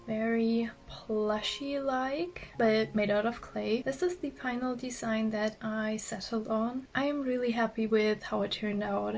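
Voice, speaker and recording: A woman with a raspy voice, vlogging about her clay art in a soft-spoken way that often drops to a whisper. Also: on the high side. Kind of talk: casual vlog narration.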